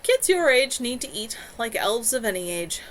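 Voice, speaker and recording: A woman's voice: on the high side, very animated, moderate pace, slight background noise, voice pushed up. Floria, a woman reading a firm, motherly witch. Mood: brisk, kindly. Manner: warm but firm, brooks no argument.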